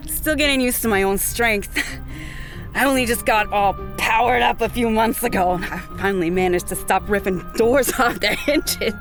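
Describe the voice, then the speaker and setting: A woman's voice: on the high side, normal speed, over music, natural voice. A young woman playing an eager, friendly super-strong rookie henchwoman in an audio drama, chirpy and a little apologetic. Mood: friendly, sheepish.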